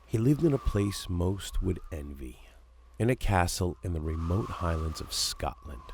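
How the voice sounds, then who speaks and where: A man with a very low voice narrates a horror story in a dramatic style. His tone has a heavy creak and gravel to it. A faint wash of rain sits behind the voice. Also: measured pace. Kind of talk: scripted horror narration, creepypasta reading. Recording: steady background noise of rain ambience.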